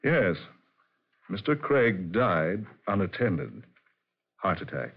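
A man's voice: low-pitched, very animated, slow pace, husky, full character voice. An actor playing a plain-spoken, uneasy man who guides a visitor, in a 1940s radio drama style. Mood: matter-of-fact. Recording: dull 1940s radio recording.

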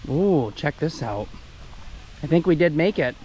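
A man's voice: a little high, animated, a little slow, warm tone, slight background noise, rough recording. A young man filming a family walk on an outdoor trail, chatting cheerfully to the camera through an action-camera mic. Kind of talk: casual chat. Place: outdoor wooded nature trail.

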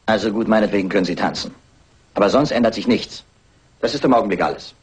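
Police inspector, lowish raspy voice, rapid, stern, sceptical, insistent, radio crime play. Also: male voice, poor audio. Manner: official, insistent, dry. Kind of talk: German radio crime drama acting.

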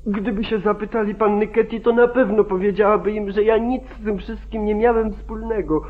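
A man's voice: high-pitched, fairly even intonation, brisk, lightly acted. Man acting a frightened, pleading young farmhand, phone-like sound. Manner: pleading, repetitive, protesting. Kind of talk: old radio drama acting. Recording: muffled, narrow-band 1940s radio recording.

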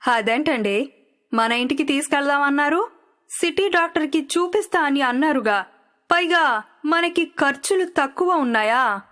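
A woman's voice: sits high, expressive, moderate pace, fairly bright tone. A woman voicing a nagging, land-greedy city wife, insistent and prodding, in a story. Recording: music under the voice.

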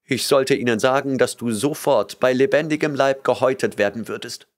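Man doing a frightened young woman, high voice, whimpering, breathless, pleading, audiobook. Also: brisk, natural voice. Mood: fearful. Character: terrified young woman, a trembling false oracle.

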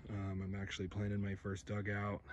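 A young man casually narrating his backyard work for his channel, relaxed and rambling with lots of ums. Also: lowish voice, fairly quick, dull audio, slight background noise. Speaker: video creator. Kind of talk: casual narration while working. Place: outdoor backyard.

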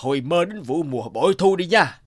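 A man voicing a greedy, smug rich landlord in an animated folk tale, with a drawling, mock-regretful manner. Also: on the high side, a little fast. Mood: spiteful.

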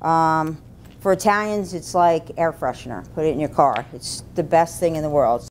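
Middle-aged woman demonstrating cooking, faint rumble. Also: moderate pace, darkish tone. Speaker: cooking demonstrator, food and beverage director. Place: indoor institutional kitchen. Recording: low rumble, faint room noise.

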